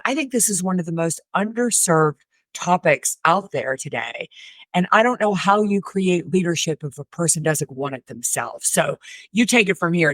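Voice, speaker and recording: A woman's voice: lowish voice, a bit bright, no room sound. A middle-aged woman hosting a livestream interview over a video call, thinking aloud enthusiastically as she frames her questions. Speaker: livestream host. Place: wood-panelled room, indoors.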